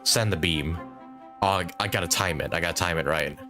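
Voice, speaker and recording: A man's voice: deep voice, brisk, music in the background. A man doing casual live gameplay commentary, thinking aloud informally and repeating short phrases. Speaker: game livestreamer.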